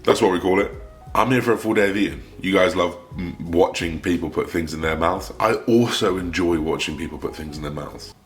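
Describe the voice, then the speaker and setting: Raspy low-voiced man with half West Country accent. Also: brisk. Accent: half West Country English. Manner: chatty and upbeat, swears casually. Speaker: fitness coach and bodybuilder. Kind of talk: casual vlog talk to camera. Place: home, then gym. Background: music.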